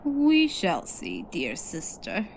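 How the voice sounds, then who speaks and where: Woman playing defiant young elf warrior, lowish voice, proud, formal, pointed, fantasy roleplay, slight ambient noise. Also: wildly swooping pitch, takes it slowly, vocal fry, heavily acted. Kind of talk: fantasy audio roleplay acting. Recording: faint noisy ambience.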